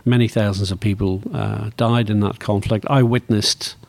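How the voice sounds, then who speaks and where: A man's voice: fairly low pitch, steady tone, normal speed, gravelly, darkish tone, no room sound. Northern Irish man of sixty, reflective. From Northern Ireland. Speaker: interview guest, motor racing executive. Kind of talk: reflective conversational interview.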